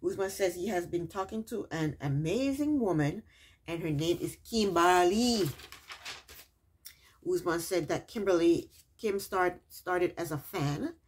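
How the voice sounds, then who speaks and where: A woman's voice: fairly low pitch, animated, unhurried, breathy edge. A woman recapping a reality TV episode in a casual, chatty way, with sarcastic asides and repeated punchlines.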